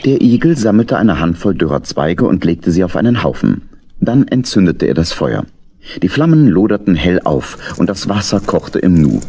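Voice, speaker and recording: Deep, dark-voiced male storyteller. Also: big pitch swings, normal speed, husky. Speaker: narrator. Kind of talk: scripted storybook narration.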